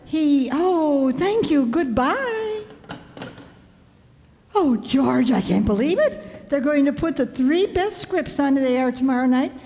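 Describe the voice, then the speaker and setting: Excitable housewife for a vintage radio sitcom, played by a woman: unhurried, high voice, melodramatic, recorded in a reverberant hall. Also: a little hiss or hum, heavily acted. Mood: giddy, frantic. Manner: theatrical, indignant, melodramatic. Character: excitable, stubborn, vain housewife with writing ambitions. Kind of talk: 1950s radio sitcom acting. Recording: echoey hall, stage performance.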